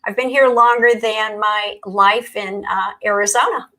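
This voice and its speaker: An American woman introducing herself warmly on an online panel. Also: mid-range voice, fairly even intonation, unhurried. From United States. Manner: warm, welcoming. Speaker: panel guest. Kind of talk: casual introduction.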